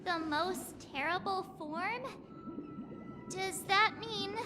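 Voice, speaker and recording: A woman voicing a small, childlike, inquisitive mascot companion in a video game, with expressive delivery. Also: very high-pitched, extremely slow, full character voice. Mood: worried. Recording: loud music under the voice.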